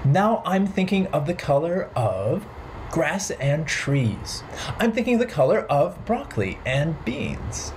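Slow, swooping children's storytime man. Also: a little high, slight background noise. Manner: playful, simple, expressive address to young children. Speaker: children's storytime host at a library. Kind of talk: children's storytime, rhythmic and sing-song. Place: bright living room at home.

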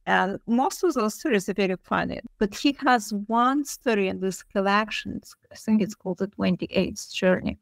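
A woman's voice: mid-range voice, moderate pace, vocal fry, few pauses, dry recording. A woman speaking unscripted as an interviewed academic, thoughtful and emphatic, repeating key phrases as she explains. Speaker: interviewed literature professor.

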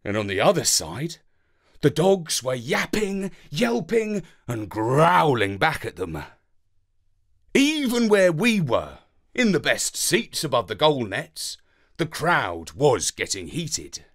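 A man with a British accent narrating a children's story in a theatrical, deliberate, expressive way. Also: fairly high pitch.

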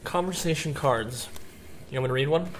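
A man's voice: mid-range voice, a little fast, noisy background. A young man co-hosting a casual podcast, speaking in a dry, understated and slightly hesitant way. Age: young adult. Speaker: podcast co-host. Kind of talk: casual chat. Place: small office conference room. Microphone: headset with desk mic.

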